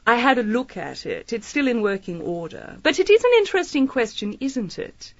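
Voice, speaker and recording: A woman's voice: on the high side, very animated, moderate pace, no room sound, highs cut off. An actress playing a shrewd, playful lady detective in a radio mystery, politely probing with mock innocence. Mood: probing, teasing. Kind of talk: radio mystery drama acting.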